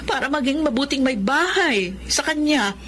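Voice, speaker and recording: A woman's voice: high-pitched, animated, moderate pace, noisy background. A woman acting a devoted, put-upon young stepmother in an emotive Filipino radio drama. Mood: defensive, pleading. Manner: earnest, emotive.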